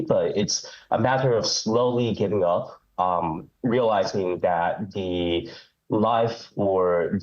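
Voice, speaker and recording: A man's voice: sits low, a little mellow, dull audio. A man calling in to a live show, speaking hesitantly with many ums and restarts, with a head cold. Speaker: caller. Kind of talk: call-in chat.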